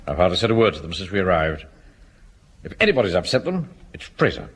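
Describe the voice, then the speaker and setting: An actor with an English accent playing a pompous, easily offended middle-aged Home Guard captain in a radio sitcom. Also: male voice, sits low, big pitch swings, fast, heavily acted. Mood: huffy, suspicious. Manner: pompous, hesitant throat-clearing. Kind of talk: British radio sitcom acting. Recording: hissy old radio comedy recording.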